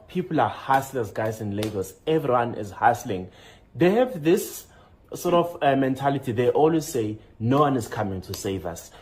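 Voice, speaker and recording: A man with a South African accent talks through his travel impressions in a relaxed vlog style, jumping up in pitch each time he announces the next number. A faint hiss sits under the recording. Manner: animated, jumps up in pitch to announce list items. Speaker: vlogger. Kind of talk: casual travel vlog chat.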